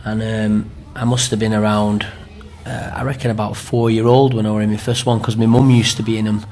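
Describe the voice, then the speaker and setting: A middle-aged man from an amateur cast talks in a Greater Manchester accent. His voice sits low and stays fairly level, with plenty of gravel in it. He chats in a rambling way, checking facts with little tag questions. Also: flat delivery, fairly quick, darkish tone, a little hiss or hum. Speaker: amateur pantomime performer being interviewed. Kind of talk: chatty interview recollection.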